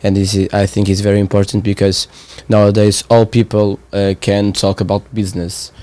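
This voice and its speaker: Portuguese guy in his 20s, english not his first language, lots of uhs, low mellow voice, dry sound. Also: level tone, no echo. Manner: halting, searching for words with many fillers. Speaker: training participant. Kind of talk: casual conversation.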